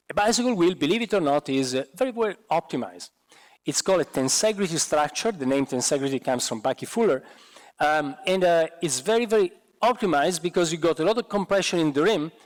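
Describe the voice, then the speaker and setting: A middle-aged man with an Italian accent, a bit hoarse, giving an animated university lecture on a headset mic, with many restarts and fillers. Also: a little high. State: hoarse, losing his voice. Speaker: guest lecturer. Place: large university lecture hall. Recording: lecture hall with long reverb.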